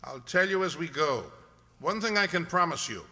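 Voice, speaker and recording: A man playing a shrewd, commanding gentleman detective in an incisive, theatrical radio-play style. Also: fairly high pitch, lively intonation, normal speed. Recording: echoey hall.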